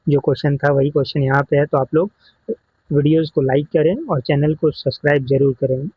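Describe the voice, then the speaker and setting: A man's voice: a little high, steady tone, fairly quick, slightly rough, no echo, dull audio. A man with an Indian accent teaching as an exam coach, walking through questions in an explanatory way and mixing in English technical terms. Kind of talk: exam question walkthrough lesson.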